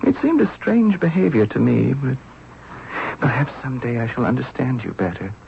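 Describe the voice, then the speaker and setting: An actor playing a mild, earnest young pharaoh and forgiving husband in a 1970s radio drama. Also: male voice, mid-range voice, big pitch swings, moderate pace, vocal fry. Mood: troubled, earnest. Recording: dull, noisy old radio broadcast recording.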